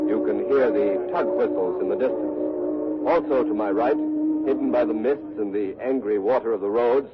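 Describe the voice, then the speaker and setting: Actor as low-voiced 1940s radio war correspondent. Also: male voice, natural voice. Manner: measured, solemn, courteous, phrase by phrase. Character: grave, earnest war correspondent reporting live aboard a frigate. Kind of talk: 1940s radio news reporting, dramatized. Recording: muffled old 1940s radio recording.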